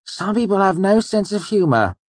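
A man's voice: a little high, big pitch swings, brisk, warm tone, breathy edge, no room sound, pitched above the performer's own voice, full character voice. A man voicing a smug young male rival in a storybook, with a sneering, affected, theatrical sing-song manner. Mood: mocking nonchalance.

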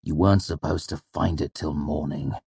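A man's voice: sits low, fairly even intonation, moderate pace, creaky, dry recording. A man voicing a tired, stoic male innkeeper with a dry, dismissive manner, in a fantasy storybook.

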